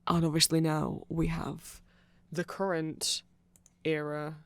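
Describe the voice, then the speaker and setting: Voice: mid-range voice, level tone, slow, clear, resonant tone, no room sound. A person giving an informal, rambling ASMR-style explanation full of asides, close to the microphone. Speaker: ASMR audio creator.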